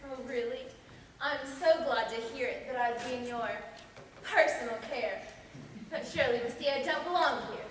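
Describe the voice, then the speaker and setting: A woman's voice: high voice, normal speed, fairly bright tone. A woman acting a distraught, defiant mother committed to an asylum, in a theatrical, emphatic stage manner. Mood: sarcastic, defiant. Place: auditorium stage, recorded from far off. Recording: echoey stage, far mic, faint hiss.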